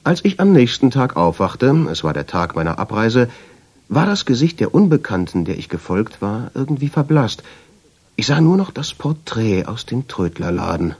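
An actor playing a reflective traveller who tells his story in measured, deliberate radio drama narration, with a husky voice. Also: big pitch swings, a little mellow. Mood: brooding, fascinated. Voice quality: low, husky voice. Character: reflective male traveller, drawn and obsessive, telling his story. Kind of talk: literary radio drama narration in first person.

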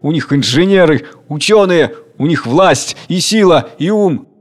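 Man voicing a frightened workers' crowd, clamoring, panicky, very high pitch. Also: lively intonation, a little slow. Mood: alarmed.

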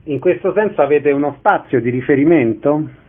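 A man's voice: on the high side, expressive, normal speed. A man working as an interviewer, putting casual questions in a radio conversation. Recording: narrow band, phone-like radio sound.

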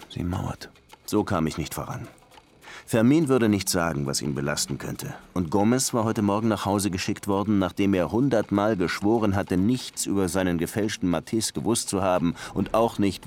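An actor playing a dogged, brooding Cuban police lieutenant in a radio crime drama, insistent in questioning and reflective in narration. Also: male voice, sits low, a little mellow, slight background noise.

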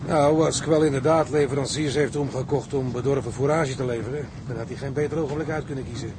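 A man plays a confident officer advising his captain in a radio play. His voice is mid-pitched and steady. A rumbling noise is clearly audible. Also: quick pace, lightly acted. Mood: concerned. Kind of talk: radio drama acting. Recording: noisy radio drama, low rumble.

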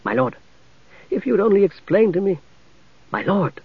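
A man's voice: sits high, big pitch swings, moderate pace, slightly rough, dry recording, pitched above the performer's own voice, full character voice. A man doing a polite young knight. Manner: polite, deferential. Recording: dull, dry recording.

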